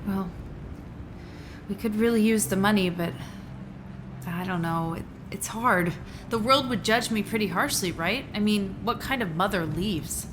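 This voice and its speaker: A woman's voice: medium pitch, a little slow, noisy background, natural voice. A woman playing a conflicted, tired neuroscientist mother in a naturalistic audio drama, speaking in a hesitant, conversational way. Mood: torn. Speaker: voice actor in a musical audio drama.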